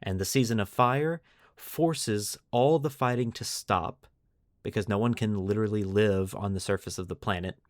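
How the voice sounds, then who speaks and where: A man's voice: on the low side, normal speed, dry recording, studio quality. A man hosting a podcast, narrating fictional war lore like a storyteller and stressing key phrases. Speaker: podcast host.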